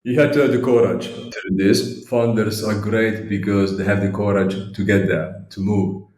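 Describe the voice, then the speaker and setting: A man's voice: on the low side, fairly even intonation, normal speed, darkish tone. A man hosting a podcast interview, asking questions in a polite, formal, thankful manner. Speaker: podcast host. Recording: echoey room.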